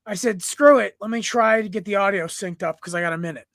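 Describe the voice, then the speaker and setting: A young man hosting a casual sports podcast from home, a little raspy from smoke, rambling and clearing his throat often. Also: high-pitched, fast, a bit bright, no room sound, clean studio sound. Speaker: podcast host. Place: room at home. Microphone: computer mic, no headset.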